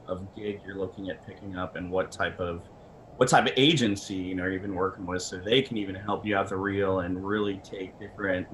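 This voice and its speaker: A man's voice: fairly low pitch, fairly bright tone, poor audio. A man speaking casually as a guest expert on a panel, conversational and rambling from one thought to the next. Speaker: camera company representative, guest expert. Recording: faint background noise.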